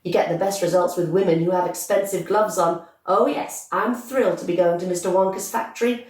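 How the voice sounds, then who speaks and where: A woman voicing a brash, boastful, bossy young girl in a storybook, with loud, emphatic, excitable chatter. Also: lowish voice, fairly quick, lightly acted. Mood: bragging, excited. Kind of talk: storybook character voice. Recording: echoey room, distant microphone.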